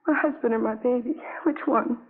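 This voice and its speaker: Actress as distraught high-voiced wife, old radio. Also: female voice, a little fast, soft background music, lightly acted. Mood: anguished pleading. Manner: pleading, repetitive. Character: distraught, guilt-ridden army wife, pleading and apologetic. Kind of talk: 1950s radio drama acting. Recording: muffled narrow-band old radio recording.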